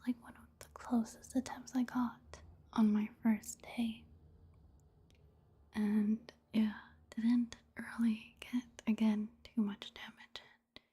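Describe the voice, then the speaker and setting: A woman giving soft-spoken ASMR commentary on a video game in a breathy, whispery voice, hushed and halting. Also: medium pitch. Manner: hushed, unhurried, halting delivery. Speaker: gaming ASMR creator.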